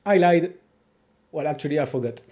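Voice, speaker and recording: High-pitched French-accented man reviewing music. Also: normal speed, warm tone. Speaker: host of a music review video channel. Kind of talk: casual video review, talking to viewers.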